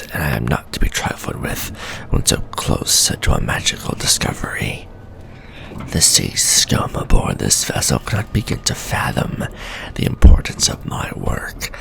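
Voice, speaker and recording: A very deep, gravelly whisper of an obsessive scholar-mage, played by a man, reading his letters home in formal, measured words. The pitch still rises and falls with feeling under the hush, and a faint hiss sits behind it. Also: exaggerated voice. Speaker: ASMR storyteller. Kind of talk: whispered ASMR roleplay reading.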